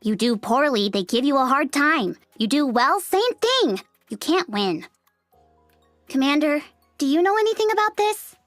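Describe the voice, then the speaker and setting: A sassy, grumbling young woman soldier in a video game. Her voice is high and very animated, dramatic and sarcastic, recorded dry with faint music behind. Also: a little slow, no room sound. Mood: weary, griping. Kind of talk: English video game voice acting.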